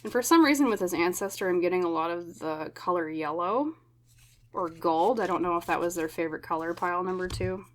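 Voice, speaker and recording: A woman with a lowish voice leads a tarot reading for her viewers. Her voice is slightly scratchy, and she speaks gently and reassuringly, often checking in with a soft "okay?". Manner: gentle, slow, reassuring, with many fillers and tag questions. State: scratchy voice from smoke. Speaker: tarot reader. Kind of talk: pick-a-card tarot reading.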